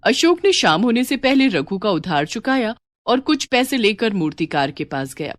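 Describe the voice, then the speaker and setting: A woman narrating a moral story with a clear, even, steady storyteller's delivery. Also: on the high side, normal speed, dry recording.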